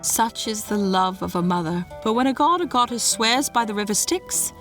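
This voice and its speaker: A woman giving a scripted storytelling narration in a measured, dramatic way. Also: a little high, animated, a bit bright, soft background music.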